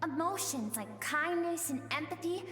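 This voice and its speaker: A woman voicing the gentle, earnest emotional side of a warrior woman in a dramatic game scene, tender and pleading. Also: very high voice, animated, moderate pace, a little hiss or hum. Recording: reverberant echo, music behind.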